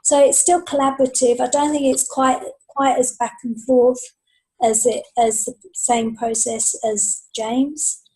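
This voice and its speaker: A woman chatting casually and unscripted as a podcast guest author, hesitant and self-effacing, with a slightly husky voice. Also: sits high, fairly even intonation, measured pace, warm tone, rough recording. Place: remote group video call.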